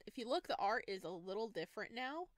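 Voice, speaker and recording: A woman streaming a visual novel, reading narration aloud and reacting in an expressive, gushing way. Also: medium pitch, fast, no echo. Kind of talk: game playthrough with read-aloud narration and excited reactions.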